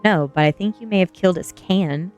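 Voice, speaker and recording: A woman voicing a bold, thrill-seeking goth teenage girl with a calm, teasing manner in a storybook reading. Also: lowish voice, a little fast, clear, resonant tone, soft background music, close to an everyday voice. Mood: wry. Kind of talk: storybook dialogue in an ASMR reading.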